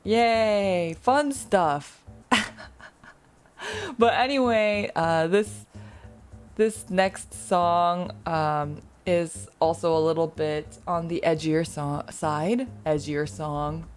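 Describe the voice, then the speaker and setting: A woman's voice: lowish voice, very slow, smooth, soft background music. A woman streamer chatting casually with her viewers, rambling and self-deprecating, laughing often.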